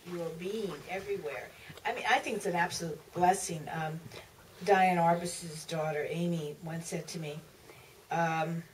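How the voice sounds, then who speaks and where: A woman giving reflective answers as a guest at a Q&A, searching for her words and restarting phrases. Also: lowish voice. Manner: halting, searching for words, repeats starts of phrases. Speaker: author, panel guest. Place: theater stage at a public Q&A. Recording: distant mic, faint room noise.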